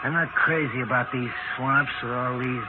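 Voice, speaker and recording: A man's voice: mid-range voice, fairly even intonation, moderate pace, close to an everyday voice. An actor playing a brash, breezy drifter looking for handyman work, clipped and casual in 1940s radio style. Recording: tinny, very noisy 1940s radio recording.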